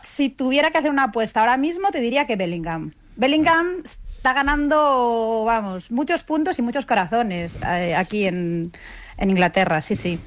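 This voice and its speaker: Spanish woman reporting live on radio, chatty, voice a bit high. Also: expressive, normal speed. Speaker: radio correspondent.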